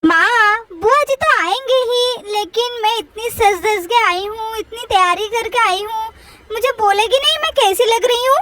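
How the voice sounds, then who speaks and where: A woman's voice: very high voice, a little slow, very noisy. A woman voicing a sweet, coy elder daughter in a play-acted family story, speaking in a girlish, chatty way.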